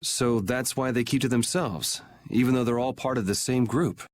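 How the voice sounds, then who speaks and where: A man's voice: medium pitch, normal speed, slight background noise, lightly acted. Man playing a laid-back, wry young hero. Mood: curious, wry. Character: casual, wry, cool-headed young swordsman. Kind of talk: English game dub acting.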